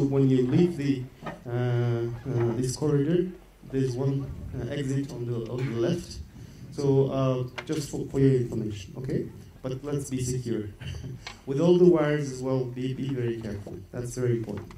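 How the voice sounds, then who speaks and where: A man's voice: medium pitch, warm tone. A man from Kazakhstan, an executive at the host company, giving housekeeping notes at a seminar, hesitant with many fillers and repeated words. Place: conference hall. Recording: echoey hall, far from the mic, light noise.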